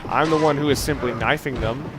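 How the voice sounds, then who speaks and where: A man with a medium-pitched voice talks through a video game as he plays. His commentary is casual and unscripted, wandering into dry, musing asides. Clear background noise sits under his voice. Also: brisk. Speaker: let's play host.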